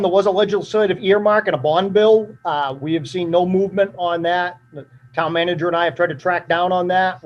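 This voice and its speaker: Man, high-pitched, matter-of-fact budget presenter, slightly dry voice, dull lo-fi meeting audio. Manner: steady, matter-of-fact, with frequent uh fillers. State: voice drying up. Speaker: fire chief presenting budget. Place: town meeting room.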